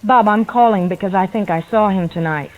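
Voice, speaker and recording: A woman's voice: medium pitch, fairly quick. A woman playing an unnerved, insistent eyewitness calling a radio show over a telephone line. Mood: uneasy. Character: unnerved married woman, watchful eyewitness, resolved to flee. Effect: telephone line filter. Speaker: radio phone-in caller. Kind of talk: radio horror drama. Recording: tinny narrow phone line.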